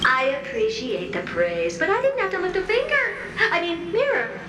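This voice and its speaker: A woman playing an excitable, vain talking mirror in a video game, with a theatrical, self-delighted delivery. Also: extremely high pitch, moderate pace, roomy sound, far from the mic, very noisy. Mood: coy, breezy.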